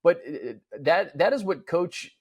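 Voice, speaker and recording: A man's voice: fairly high pitch, expressive, measured pace, darkish tone, husky. An American man co-hosting a sports show, giving casual, earnest, conversational analysis into a studio microphone.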